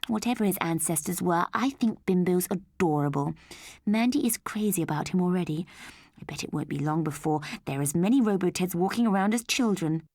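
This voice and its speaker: A woman's voice: fairly low pitch, lively intonation, a little fast, a little mellow, dry recording, clean studio sound. A woman voicing a prim, conformist wife and mother in an audiobook story, speaking emphatically. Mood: pleased.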